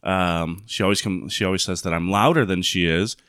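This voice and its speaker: A man's voice: sits low, a little fast, clean tone, dry recording. A man from Northwest Arkansas co-hosting a casual podcast, joking in a dry way. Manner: dry, joking, calls his own voice monotone. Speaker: podcast co-host. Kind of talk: casual chat.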